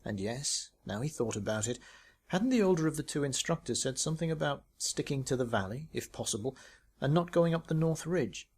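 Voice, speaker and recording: A man's voice: on the high side, animated, fairly quick, a little mellow, breathy edge. A man reading his own short story as a scripted narration.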